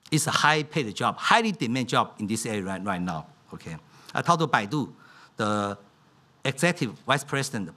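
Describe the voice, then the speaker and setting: Middle-aged man, lively keynote delivery. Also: on the high side. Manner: non-native English, simplified grammar. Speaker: keynote speaker. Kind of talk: conference keynote speech. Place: large conference hall stage at a podium. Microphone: podium microphone.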